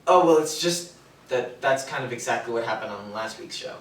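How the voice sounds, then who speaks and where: A man's voice: mid-range voice, animated, fairly quick, close to an everyday voice. An actor playing a nervous, eager young male intern who stammers and apologizes timidly. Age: young adult. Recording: distant, echoey stage recording with hiss.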